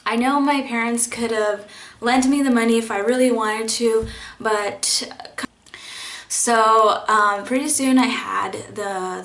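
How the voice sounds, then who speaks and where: A woman's voice: mid-range voice, fairly bright tone, reverberant. A young woman, a college student, sharing her faith story in a personal vlog, speaking earnestly and reflectively with frequent fillers and restarts. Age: young adult.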